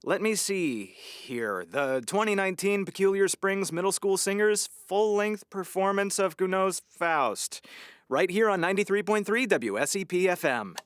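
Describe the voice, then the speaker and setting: A man's voice: high-pitched, lively intonation, normal speed, clean tone, no echo, clean studio sound. A man playing an earnest, easily exasperated small-town radio host with wordy, showy patter in an audio comedy. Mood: on-air, showy. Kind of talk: audio drama comedy acting.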